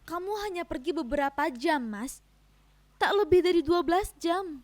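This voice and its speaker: An actress playing a patient, dutiful wife in a dramatic reading, gentle and measured with a quiet firmness. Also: female voice, very high-pitched, animated. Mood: quietly firm. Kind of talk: dramatic reading of a stage play.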